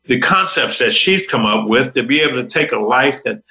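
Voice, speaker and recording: Bright-voiced upbeat motivational host. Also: male voice, medium pitch, expressive, normal speed. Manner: upbeat, preacher-like motivational delivery. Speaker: talk show host. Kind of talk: motivational talk show hosting.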